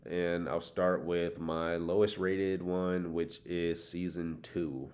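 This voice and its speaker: A young man with a very deep voice co-hosts a podcast. He talks casually, peppering his speech with slang and profanity. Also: little pitch movement, slow pace, darkish tone. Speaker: podcast co-host.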